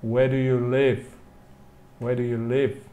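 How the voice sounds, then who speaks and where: Slow-talking English man teaching a class. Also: medium pitch, level tone, dark tone, lo-fi sound. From England. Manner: slow, careful enunciation with repeated phrases. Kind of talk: live online language lesson, reading model questions and answers.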